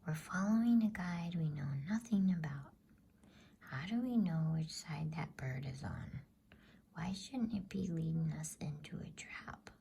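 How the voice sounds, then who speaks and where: A woman voicing a sceptical, grumbling boy in a breathy whisper for a storybook reading. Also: sits low, measured pace, dark tone, a little hiss or hum. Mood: suspicious, grumbling.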